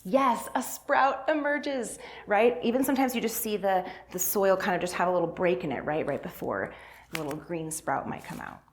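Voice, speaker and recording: A woman's voice: medium pitch, normal speed, slight background noise. Woman with a mid-range voice, informal church educator, encouraging, question-led delivery. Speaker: church faith and justice coordinator. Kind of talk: interactive story talk for all ages.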